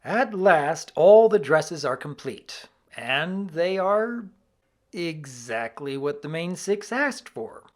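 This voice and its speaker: A man's voice: fairly high pitch, very animated, takes it slowly, hardly any pauses, slight background noise. A man giving semi-scripted review commentary as the host of a video.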